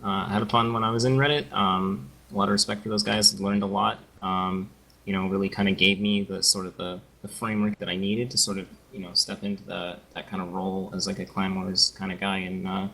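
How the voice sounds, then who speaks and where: Low gravelly man from Canada. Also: flat delivery, brisk, bright, forceful tone, poor audio. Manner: easygoing, explanatory, many fillers. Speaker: co-caster. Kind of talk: casual game commentary.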